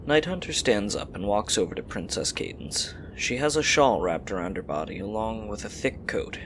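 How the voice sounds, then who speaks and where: Low-voiced man narrating with lively swings. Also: normal speed, a little hiss or hum. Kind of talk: scripted story narration.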